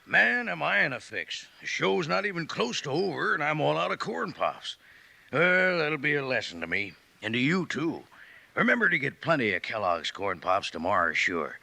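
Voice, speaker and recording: A man giving a playful, folksy cereal pitch in a 1950s radio commercial. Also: sing-song, moderate pace, vocal fry, sharp tone.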